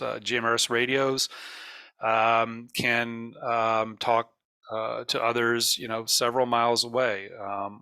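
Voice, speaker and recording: An American man giving an explanatory presentation in a plain, methodical way, with hesitant pauses as he goes. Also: mid-range voice, pushed and bright, no room sound. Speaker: presenter of an emergency radio network.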